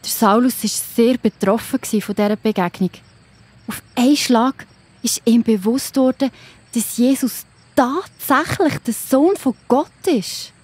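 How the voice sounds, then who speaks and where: A woman with a Swiss German accent narrating a Bible story for children in a gentle, explaining manner. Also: a little high, unhurried, husky.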